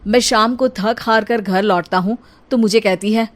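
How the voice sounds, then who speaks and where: A woman's voice: mid-range voice, steady tone, moderate pace, natural voice. A woman voicing a tired young husband who whines and complains, in a comic cartoon story. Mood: exasperated.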